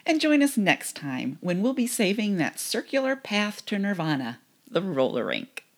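A woman's voice: medium pitch, sing-song, moderate pace. A middle-aged woman co-hosting a podcast in a casual, conversational style. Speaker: podcast co-host.

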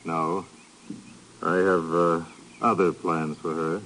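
A man's voice: low-pitched, flat delivery, takes it slowly, a bit bright, full character voice. An actor playing a cold, evasive male smuggling villain in a 1950s radio drama. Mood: cold, guarded. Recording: noisy old radio broadcast, faint hiss.

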